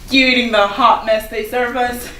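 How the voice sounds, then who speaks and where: A woman's voice: fairly high pitch, fairly even intonation, moderate pace, pushed and bright. A woman playing a popular, defensive teenage schoolgirl in a staged play reading, with chatty, casual, hedging delivery. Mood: breezy, friendly. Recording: echoey room from far off, noisy.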